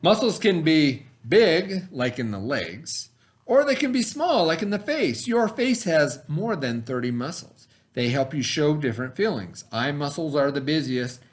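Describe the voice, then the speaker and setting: A man teaching by reading aloud to pupils, pausing to explain in casual asides and check that they follow. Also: a little high, sing-song. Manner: pauses to explain, checks in with "okay?".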